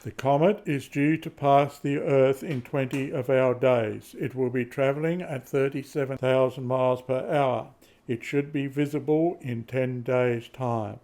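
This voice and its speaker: A man's voice: medium pitch, steady tone, a little mellow, close to an everyday voice. Formal elderly professor, played by a man, lecturing. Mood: solemn, informative. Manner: measured, didactic. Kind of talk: amateur radio play acting.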